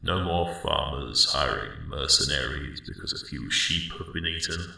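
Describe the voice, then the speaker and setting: A man voicing a friendly, elderly male dragon in an ASMR roleplay, rambling slowly and wryly in a deep, gravelly rumble. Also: heavily acted. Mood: reflective. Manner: slow, rambling, reflective, gently wry. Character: friendly ancient male dragon, mellowed guardian of a spring. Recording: roomy cave-like echo, distant sound.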